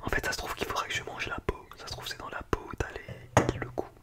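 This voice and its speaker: An ASMR creator, speaking in a breathy, unvoiced whisper in an informal, slangy, chatty way while reviewing food. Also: fast. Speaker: ASMR video creator and host.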